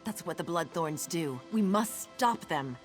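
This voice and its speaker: A woman's voice: on the low side, expressive, a little slow, loud music behind the voice. A woman playing an earnest, solemn and worried nature priestess in a fantasy video game. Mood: worried, urgent.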